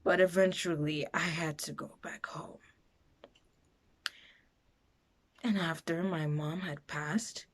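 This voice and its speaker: A woman playing a frightened, bitter foster girl in a dramatic confessional monologue, halting and emotional in her delivery. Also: deep voice. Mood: hushed, hurt. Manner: halting, emotional, breaking into whispers and raised outbursts.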